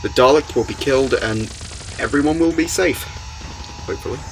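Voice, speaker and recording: A man acts out a commanding alien adventurer for a fan audio drama. He is urgent yet hopeful, in a mid-pitched voice. Music plays clearly behind him. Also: a little fast, close to an everyday voice. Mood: determined.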